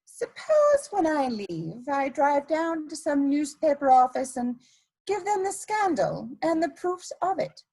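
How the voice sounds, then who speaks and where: A woman playing an elegant, ruthless blackmailer in a dramatic reading of a period play, speaking in a deliberate, imperious way. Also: sits high, very animated, warm tone, exaggerated voice, poor audio. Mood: imperious, threatening. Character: elegant scheming female blackmailer, coolly ruthless society lady.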